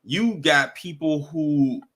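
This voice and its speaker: A man's voice: fairly high pitch, slow, a bit bright. A man hosting a casual talk show, chatting with his guests. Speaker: host.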